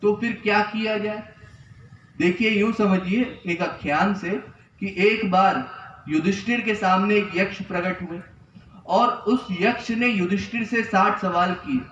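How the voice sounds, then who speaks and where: A man's voice: sits high, measured pace, fairly bright tone, a little hiss or hum. A young man giving an earnest spiritual discourse, teaching through repetition and rhetorical questions. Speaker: spiritual preacher. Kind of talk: Hindi religious discourse. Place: temple hall. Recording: echoey, distant, dull lo-fi sound.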